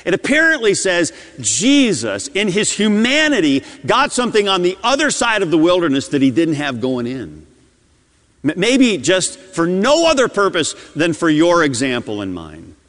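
Impassioned preacher man in a reverberant hall. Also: a little high. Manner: impassioned preaching, swings between loud and hushed. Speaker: pastor. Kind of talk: sermon.

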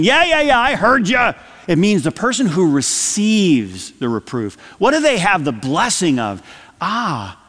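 A man preaching a sermon from the pulpit, swinging between loud emphatic lines and soft, dropped phrases. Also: on the high side. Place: church sanctuary. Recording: long hall reverb.

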